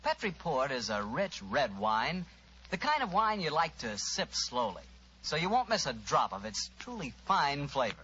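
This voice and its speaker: A muffled vintage broadcast carries an American man announcing and selling. His voice sits fairly high, bright and animated, in polished 1940s radio advertising style. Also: moderate pace. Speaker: radio announcer and sponsor pitchman. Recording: muffled, hissy old-time radio recording.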